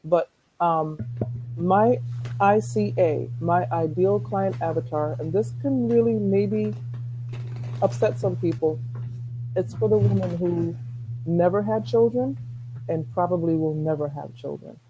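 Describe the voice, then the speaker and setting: A middle-aged woman with a soft-spoken voice, talking earnestly as a guest on a video call, rambling with restarts. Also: on the low side, unhurried, muffled recording, background noise. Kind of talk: casual chat.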